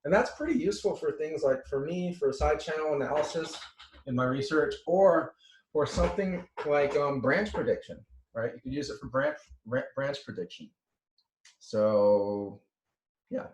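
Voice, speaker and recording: A man giving an informal lecture as a teacher, talking haltingly, correcting himself and often checking in with "right?". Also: on the high side, echoey room, slight background noise, rough recording. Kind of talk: informal slide lecture with live demos.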